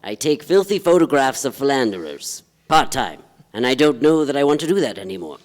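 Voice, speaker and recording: A man's voice: medium pitch, big pitch swings, fairly quick, natural voice. A man playing a melancholy, pompous poet and part-time detective in a staged play reading, with florid, declamatory delivery. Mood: self-pitying. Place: live on a theatre stage. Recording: reverberant theatre hall.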